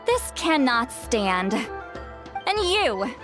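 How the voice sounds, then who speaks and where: High-voiced, huffy proud lady. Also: very animated, drawn-out words, over music, heavily acted. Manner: huffy, haughty. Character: proud, easily huffed woman elder with youthful voice. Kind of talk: video game character acting.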